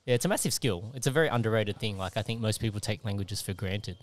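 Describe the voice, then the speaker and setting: A man hosting a podcast, interviewing his guest in a relaxed, conversational way. His voice sits a little low. He keeps the talk moving with encouraging "yeah" and "mm" responses. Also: quick pace. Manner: encouraging, frequent "yeah" and "mm" backchannels. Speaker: podcast host.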